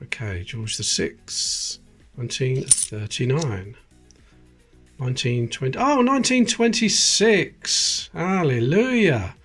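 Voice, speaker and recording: A man's voice: medium pitch, husky. A British man hosting a coin collecting video, chatting casually and reading out dates as he sorts through coins at a table. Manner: chatty, reads out dates as he goes. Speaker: coin collecting channel host. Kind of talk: casual commentary while sorting coins. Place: indoors at a table. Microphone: close camera, hands-only framing.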